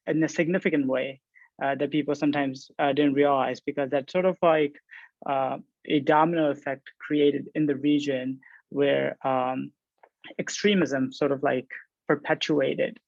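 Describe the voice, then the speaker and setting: A man answers questions in a thoughtful, meandering way, filling pauses with "like" and "uh." His voice is gravelly and fairly high for a man. The audio is dry and dull, with little room sound. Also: dry recording. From Pakistan. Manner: thoughtful, many "like" and "uh" fillers. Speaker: panel guest, resident surgeon. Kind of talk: panel interview answer.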